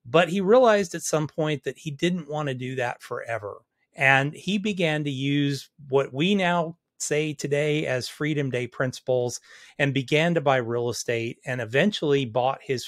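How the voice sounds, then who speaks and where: A man hosting a podcast, introducing his guest in an upbeat, folksy way with many fillers. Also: fairly high pitch. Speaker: podcast host.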